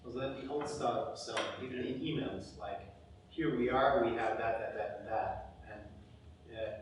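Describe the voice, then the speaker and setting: A man from Poland speaking as a panelist at a casual industry meetup, halting and restarting, with lively stories. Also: mid-range voice, background noise. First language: Polish. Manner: halting, many restarts and fillers, animated storytelling. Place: event venue with audience. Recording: echoey room, mic far off.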